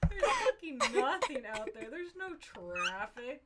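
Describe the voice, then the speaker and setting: A woman's voice: high-pitched, wildly swooping pitch, unhurried. A woman co-host in casual comic banter, reacting with incredulous, whiny exasperation. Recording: loud noise, clicks.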